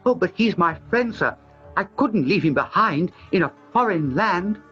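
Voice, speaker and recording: A man's voice: sits high, lively intonation, moderate pace, a bit bright, voice pushed up. A man voicing an earnest, humble young boy in a storybook reading. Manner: earnest, pleading. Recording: slightly dull with faint music.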